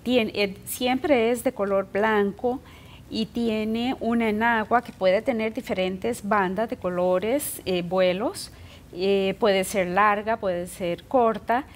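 A middle-aged woman from Costa Rica speaks very slowly as a studio guest, searching for her words with plenty of fillers. A faint rumble sits under the studio sound. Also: fairly even intonation. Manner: careful, hesitant, many fillers. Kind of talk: interview answers. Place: indoor TV studio set.

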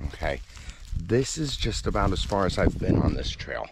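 A man's voice: low-pitched, very animated, moderate pace, creaky. A man in his forties talking casually to his handheld camera outdoors. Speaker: vlogger and farm worker. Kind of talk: casual outdoor vlog narration. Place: outdoors on a farm and hillside. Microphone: handheld camera at arm's length. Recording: noisy handheld outdoor recording.

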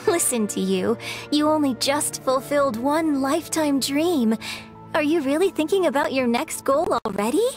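A refined young opera singer in a game, poised and gently teasing. Her voice sits high and elegant, and faint game music plays behind. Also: animated, moderate pace. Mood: fond. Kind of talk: game voice acting.

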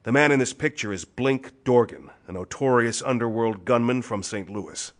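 An actor playing a hard-nosed, suspicious police detective in a pulp thriller audio drama, firing insistent, probing questions. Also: male voice, medium pitch, normal speed, lightly acted.

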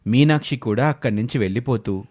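A man's voice: mid-range voice, fast, darkish tone. A man narrating a scripted moral story as a storyteller.

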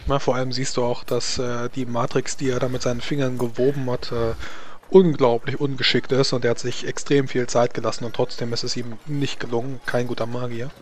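A man's voice: mid-range voice, level tone, brisk, a little hiss or hum. A man running a tabletop game as game master, narrating in a casual way.

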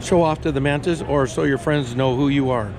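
A man's voice: mid-range voice, brisk, a crowd in the background. A man hosting a casual interview from behind a handheld camera in an exhibition hall. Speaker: interviewer and video host. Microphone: handheld action camera. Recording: clear trade show hall background noise. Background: busy convention hall noise.

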